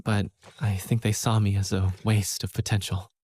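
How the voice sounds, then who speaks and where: An actor playing an earnest, self-doubting young college student who narrates his own story in a scripted animated game film. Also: fairly low pitch, moderate pace, breathy edge, no room sound. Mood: reflective, downcast. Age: young adult. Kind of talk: scripted first-person narration.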